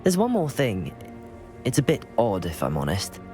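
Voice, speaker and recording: A man's voice: lowish voice, wildly swooping pitch, normal speed, music in the background. A man playing a polite, guarded student wizard in a video game, understated in manner. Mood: hesitant candour.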